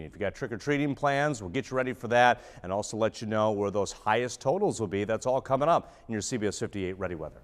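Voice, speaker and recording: Male American TV weather forecaster, explanatory delivery, mid-pitched voice, long studio reverb. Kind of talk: broadcast weather forecast, ad-libbed.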